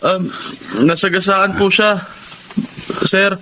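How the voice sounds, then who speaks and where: A man acting a poor, respectful young son in a Filipino radio drama, speaking politely and haltingly, hurt and bewildered. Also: high voice, little pitch movement, noisy background. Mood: earnest, respectful.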